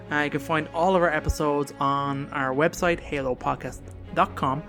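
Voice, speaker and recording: A man hosting a podcast, talking casually and informally with emphatic opinions. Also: on the high side. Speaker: podcast host.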